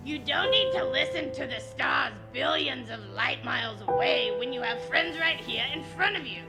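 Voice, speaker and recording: A woman's voice: high voice, poor audio. A woman playing a doting, meddling middle-aged mother who chatters and fusses in an audio drama. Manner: fussy, nosy, gushing. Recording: music under the dialogue.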